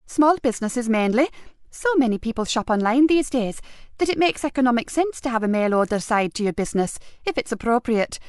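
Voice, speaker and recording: A woman's voice: a little high, lively intonation, fairly quick, no room sound, studio quality, close to an everyday voice. A woman voicing a polite, protective middle-aged wife who speaks primly and courteously. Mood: polite, eager. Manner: prim and courteous, rising bright tone.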